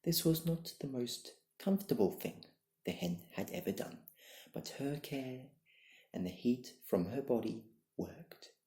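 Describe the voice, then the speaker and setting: A young adult reading bedtime stories in a soft-spoken, partly whispered ASMR style, with a gentle, deliberate and hushed delivery. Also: expressive. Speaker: ASMR storyteller. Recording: low-quality, echoey room recording.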